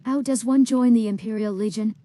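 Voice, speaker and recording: A woman's voice: fairly high pitch, steady tone, brisk, mellow, natural voice. A synthetic woman's voice playing an inquisitive adventurer in a fantasy game. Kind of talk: synthesized game player dialogue. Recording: long hall reverb, music behind.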